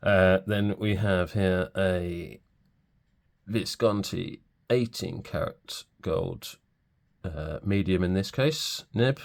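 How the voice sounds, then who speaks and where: Deep, gravelly-voiced man with a cold. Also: takes it slowly. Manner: relaxed, hesitant, many fillers. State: stuffy from a cold. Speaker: pen reviewer. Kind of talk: casual product review.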